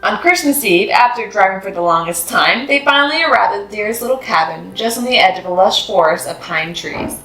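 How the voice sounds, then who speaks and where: A woman reads a children's story with expressive, animated delivery and clear emphasis. Her voice sits at a medium pitch in a roomy, echoing space, with music playing behind her. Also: fairly quick, hardly any pauses. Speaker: host of a children's read-aloud series.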